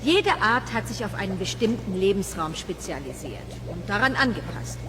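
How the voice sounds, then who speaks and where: A woman playing a brisk, patient aquarium keeper in a children's audio play, dry and instructive. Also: mid-range voice, very animated, natural voice. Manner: dry, matter-of-fact, instructive. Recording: clear background ambience and noise.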